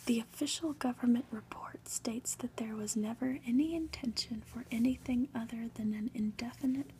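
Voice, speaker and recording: A woman reads a storybook aloud for ASMR in a soft, breathy, gentle whisper, with deliberate, unhurried phrasing. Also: on the high side, a little hiss or hum, poor audio. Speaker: ASMR reader.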